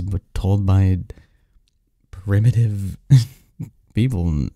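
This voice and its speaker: A man's voice: deep voice, gravelly, warm tone, no room sound, clean studio sound, exaggerated voice. A man playing a snarky, cynical young research assistant in an audio drama, talking conversationally with a scoffing, pedantic manner and small stumbles.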